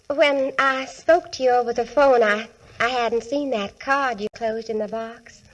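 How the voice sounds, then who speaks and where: High-voiced, gushing young lady. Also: slow, low-quality recording. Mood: grateful, adoring. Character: gracious, gushing, sweetly sentimental young lady. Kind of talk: 1940s radio comedy acting. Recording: vintage 1940s radio broadcast recording.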